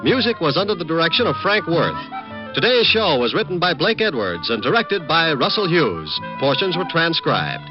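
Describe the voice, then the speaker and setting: A man announcing credits and schedule changes in the crisp, formal manner of a vintage network announcer. His voice is high and animated. Music plays under him, and the sound is dull like an old radio transcription. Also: normal speed. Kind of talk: broadcast announcement.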